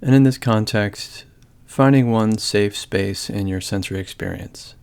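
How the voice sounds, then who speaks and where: A man with a lowish voice leads a guided meditation. His delivery is calm and gentle. He speaks in measured phrases, with long silences between them. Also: smooth. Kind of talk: guided body scan meditation.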